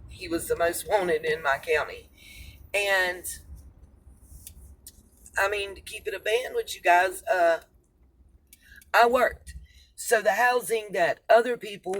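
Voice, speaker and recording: A middle-aged American woman with a congested, nasal voice, rambling casually to her viewers as a vlogger. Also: fairly low pitch. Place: inside a moving car. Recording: noisy car interior with road noise. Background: car and traffic noise.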